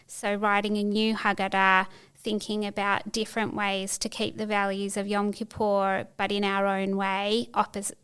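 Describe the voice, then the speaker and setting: A middle-aged woman being interviewed on a podcast, speaking thoughtfully and pausing to find her words, often restarting a phrase. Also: medium pitch, fairly bright tone, clean tone. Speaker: podcast guest. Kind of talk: reflective interview conversation.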